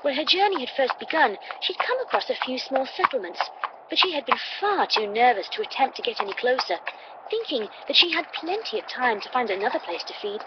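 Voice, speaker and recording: Lady reading a story out loud like an audiobook, kinda lo-fi and noisy. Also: high-pitched, big pitch swings, brisk. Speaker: audiobook narrator. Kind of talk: scripted story narration. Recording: dull, noisy playback through computer.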